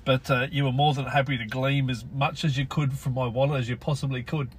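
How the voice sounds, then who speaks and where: Aussie guy, a bit husky, dry blunt humor, guest on a chat show. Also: medium pitch, steady tone, fairly quick. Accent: Australian. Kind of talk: casual conversation.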